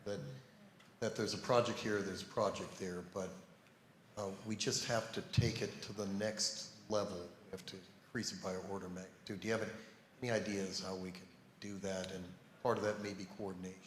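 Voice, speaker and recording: A man with a very deep voice puts a measured question to the panel. The sound is roomy, with a long hall reverb. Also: little pitch movement, a bit bright. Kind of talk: panel discussion. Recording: roomy hall with faint noise.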